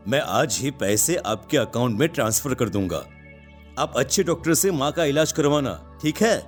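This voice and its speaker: A man playing a gentle, dutiful father with a reassuring manner in a cartoon story. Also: medium pitch, expressive, normal speed, faint music behind, lightly acted.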